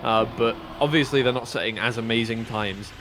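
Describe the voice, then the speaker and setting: A man's voice: mid-range voice, brisk, background noise. Man casually commentating a racing game. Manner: dry, teasing, many fillers and restarts. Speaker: esports caster. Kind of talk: casual live esports commentary.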